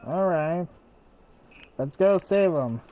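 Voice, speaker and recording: A man's voice: a little high, lively intonation, takes it slowly, dull audio. A man giving casual live gameplay commentary as a streamer, chatting as he plays. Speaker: game streamer. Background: game music.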